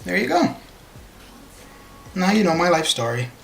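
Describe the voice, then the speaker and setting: Game streamer in their thirties from northern Canada, mid-pitched, chatty and meandering, quiet music underneath. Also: sing-song, unhurried, creaky. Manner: rambling, hesitant, many fillers, chuckles often. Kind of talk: casual live-stream chat with viewers. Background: faint background music.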